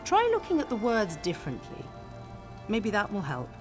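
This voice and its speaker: An actress voicing a vain, world-weary mage woman in a video game, with an aloof, measured, refined manner. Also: mid-range voice, huge pitch swings, over music. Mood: thoughtful, helpful. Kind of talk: video game character dialogue.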